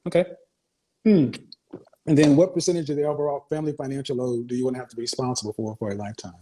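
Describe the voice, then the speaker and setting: Fast-talking blunt male call-in host. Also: mid-range voice, steady tone, warm tone, poor audio. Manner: blunt, interrupting, interrogating. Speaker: advice show host. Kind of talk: call-in interview.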